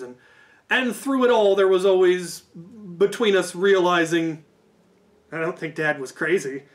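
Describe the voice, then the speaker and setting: A man telling a casual, animated story about a tabletop game, as a host who acts out quoted lines. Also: a little high.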